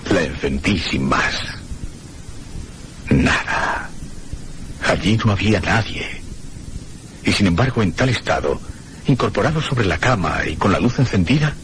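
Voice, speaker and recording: An actor playing a frightened man who recounts a night of eerie terror in a suspenseful, theatrical radio drama narration with deliberate pauses. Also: deep voice, very animated, fairly bright tone, a little hiss or hum, exaggerated voice. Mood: bewildered.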